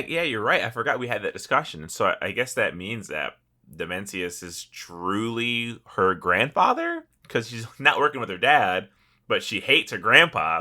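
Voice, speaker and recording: A man's voice: medium pitch, pushed and bright. A man co-hosting a casual podcast about gaming, talking in an informal, jokey way. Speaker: podcast co-host. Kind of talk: casual chat about a video game.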